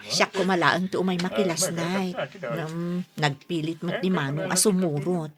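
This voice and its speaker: A woman's voice: fairly low pitch, steady tone, normal speed, a loud crowd, lightly acted. A woman with an Ilocano accent acting a frank, chiding but caring younger sister in a radio drama. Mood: friendly.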